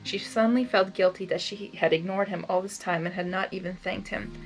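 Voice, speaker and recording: A woman's voice: mid-range voice, steady tone, brisk, soft background music, rough recording. A woman doing a blind reading of a fan story, stumbling on words and adding gushing asides. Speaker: reader.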